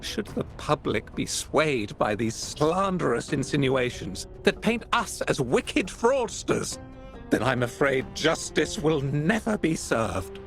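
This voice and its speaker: Pompous businessman, slow and dramatically swooping. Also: a little high, very animated, creaky, soft background music, exaggerated voice. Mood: self-righteous. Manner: formal, oratorical, self-righteous. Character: pompous, indignant male art exchange boss on the defensive.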